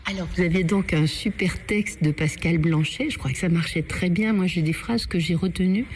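A woman's voice: lowish voice, normal speed, a little mellow, a little hiss or hum. A woman interviewing guests in a casual, chatty way, often trailing off mid-sentence. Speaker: radio interviewer.

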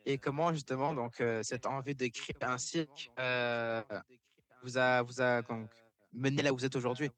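A man interviewing performers on radio, asking halting, hesitant questions. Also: medium pitch, fairly even intonation, a bit bright.

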